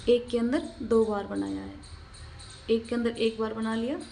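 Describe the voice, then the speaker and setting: A woman's voice: fairly high pitch, fairly even intonation, moderate pace, vocal fry, mellow, slight background noise. A woman narrating a step-by-step craft tutorial, explaining in a repetitive, instructional way and counting aloud.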